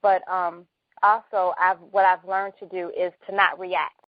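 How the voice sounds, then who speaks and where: A soft-spoken, mild-mannered woman hosting a casual conversation. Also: on the low side, flat delivery, measured pace, no echo. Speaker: host. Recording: dull, dry lo-fi recording.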